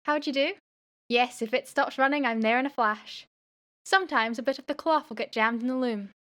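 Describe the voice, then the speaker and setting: A keen young working-class daughter, voiced by a woman in a radio play. Her voice sits fairly high, and she speaks forthrightly with an adventurous streak. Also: a little fast, fairly bright tone. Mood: matter-of-fact.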